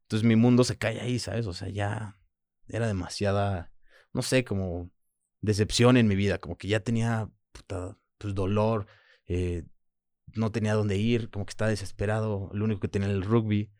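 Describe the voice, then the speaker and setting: Deep-voiced mexican guy in his 30s, french first language, halting reflective interview talk, dry close sound. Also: steady tone, darkish tone, dry recording. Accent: Mexican Spanish. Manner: reflective, halting, frequent "pues" and "¿sabes?". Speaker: guest. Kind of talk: personal interview.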